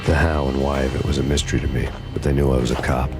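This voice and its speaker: An actor narrating as a hardboiled noir cop in a video game, deadpan and gravelly. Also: male voice, rumbling low, fast, over music.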